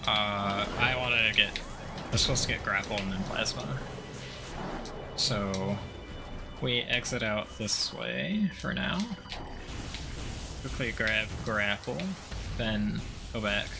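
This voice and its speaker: A man's voice: on the low side, slow, gravelly, pauses often, over music. A man giving casual gameplay commentary, thinking aloud in a hesitant, self-directed mutter with occasional mild swearing. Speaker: gamer doing a video game playthrough.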